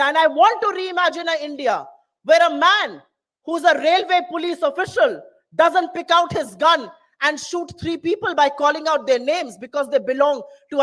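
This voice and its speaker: A woman with a high voice, a native Hindi speaker, addresses a town hall audience. She builds her political speech through rousing, repeated lines. Her delivery is passionate. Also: a bit bright. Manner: rousing, repetitive rhetoric.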